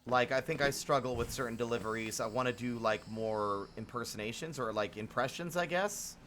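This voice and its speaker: A man's voice: mid-range voice, fairly quick, a bit bright, a little hiss or hum. A man chatting casually on a game stream, conversational and thinking aloud as he plays. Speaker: live streamer who voices game dialogue. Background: game music.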